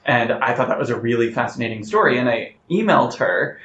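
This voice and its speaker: A man's voice: mid-range voice, lively intonation, brisk, fairly bright tone. A man with a mid-range voice talks reflectively, as a writer would in an interview, weighing his phrases as he goes. The recording is echoey and a little dull, with faint noise underneath. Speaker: playwright being interviewed. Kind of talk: thoughtful interview conversation. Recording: roomy, slightly muffled, noticeable background noise.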